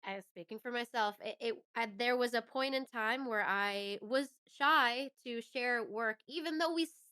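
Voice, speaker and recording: A woman's voice: a little high, moderate pace, bright, forceful tone, clean tone. A woman speaking as a guest in a casual interview, thoughtful and self-reflective. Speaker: interview guest, musician and actor.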